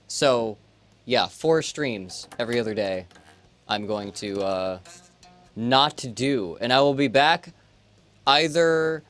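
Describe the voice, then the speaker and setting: A man in his late twenties, a streamer, chatting casually and rambling with lots of fillers. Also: medium pitch. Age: 20s. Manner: relaxed, rambling, many fillers. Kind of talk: casual chat on a livestream.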